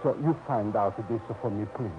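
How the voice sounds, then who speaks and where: An actor playing a worried older immigrant father with an Italian accent, pleading in halting broken English, in a 1940s radio drama. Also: lowish voice, music in the background, close to an everyday voice. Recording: muffled old radio recording.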